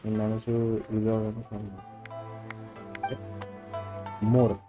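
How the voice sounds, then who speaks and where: A man's voice: on the low side, little pitch movement, extremely slow, muffled recording. A man with an Indian accent giving casual gameplay commentary as a streamer, switching between Hindi and English, with game music behind him.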